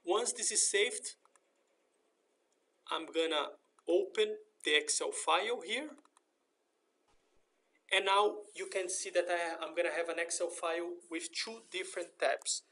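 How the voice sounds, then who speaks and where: A man narrating a step-by-step software screen tutorial in a methodical, explanatory manner. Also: high voice, a little slow, pauses often. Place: voice-over for a screen recording.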